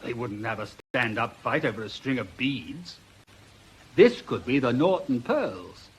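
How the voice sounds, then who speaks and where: A British police inspector in a radio mystery. He is self-assured, courteous but firm, talking in brisk everyday phrases with a slightly husky voice that rises and falls freely. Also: mid-range voice, sing-song, full character voice, rough recording. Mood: brisk, explaining. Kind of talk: radio drama acting.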